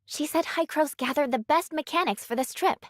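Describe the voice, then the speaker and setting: A woman's voice: high-pitched, moderate pace, dry recording, clean studio sound, natural voice. A woman voicing a friendly, chatty young companion in an anime game. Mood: casual, curious. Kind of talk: English anime game voice acting.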